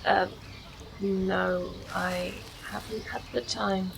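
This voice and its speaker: An actress in a naturalistic radio play, playing an ambitious but self-doubting performance poet with a hesitant, plain-spoken, easily defensive manner. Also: female voice, mid-range voice, steady tone, very slow, vocal fry, a little hiss or hum, exaggerated voice. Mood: doubtful, wry. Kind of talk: naturalistic radio drama acting.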